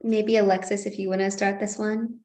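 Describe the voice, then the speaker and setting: A woman's voice: medium pitch, level tone, moderate pace, dark tone, reverberant. A woman hosting an online panel over a video call, upbeat and encouraging as she moves it along. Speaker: event host.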